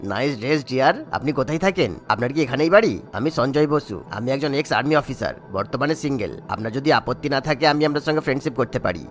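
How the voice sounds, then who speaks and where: A man voicing a smooth-talking, middle-aged ex-army suitor in a cartoon, with a flirty, haughty manner. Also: medium pitch, lively intonation, fast, hardly any pauses, soft background music, natural voice.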